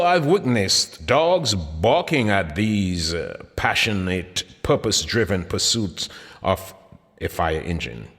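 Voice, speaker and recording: A man reading his own motivational audiobook in an emphatic, deliberate style with dramatic pauses. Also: medium pitch, fairly bright tone. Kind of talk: scripted motivational audiobook narration. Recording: long hall-like reverb.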